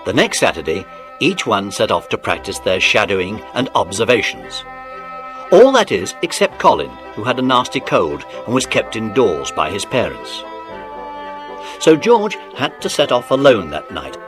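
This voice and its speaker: Animated low-pitched man telling a children's story. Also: moderate pace. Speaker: narrator. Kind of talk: dramatised children's audiobook storytelling. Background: music.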